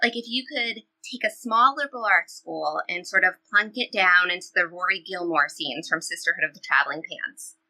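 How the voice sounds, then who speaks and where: A woman's voice: lowish voice, moderate pace, few pauses, bright, forceful tone, exaggerated voice, poor audio. A woman playing a loyal, upbeat seventeen-year-old girl in an audio play, reassuring and playful in everyday dialogue. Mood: playful, encouraging. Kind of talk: audio play reading, conversational teen dialogue.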